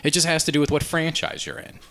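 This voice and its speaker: A man's voice: a little high, level tone, quick pace, bright, forceful tone. A man co-hosting a podcast, casually discussing pop culture in an opinionated, questioning way. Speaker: podcast co-host.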